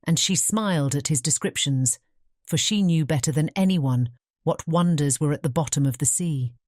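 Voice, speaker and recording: A woman narrates a storybook in a British accent. Her voice is notably low and soft-edged, dark in tone. She tells it in a measured way, recorded very dry. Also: no room sound. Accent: British English. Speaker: audiobook narrator. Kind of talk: scripted fairy tale narration.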